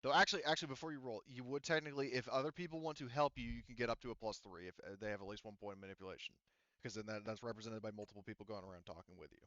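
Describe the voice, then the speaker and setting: Low, smooth-voiced man running a tabletop game. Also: rapid-fire, fairly bright tone, no echo, dull audio. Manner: rambling, hesitant, many fillers and restarts. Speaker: game master.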